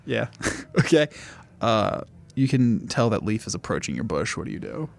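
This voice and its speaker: A man's voice: lowish voice, very animated, normal speed, vocal fry. A man narrating a tabletop game casually as game master, loose and joking in his informal delivery.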